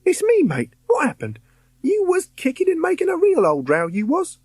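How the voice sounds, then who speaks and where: A man's voice: very high voice, wildly swooping pitch, normal speed, a little mellow, dry recording, studio quality, voice pushed up, heavily acted. A man voicing a cheerful, streetwise ghost boy, chummy and reassuring.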